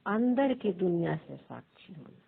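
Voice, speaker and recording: A woman leads a guided meditation as a teacher, pausing between short, measured phrases in a husky, creaky voice. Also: fairly low pitch, sing-song. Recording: thin phone-like sound.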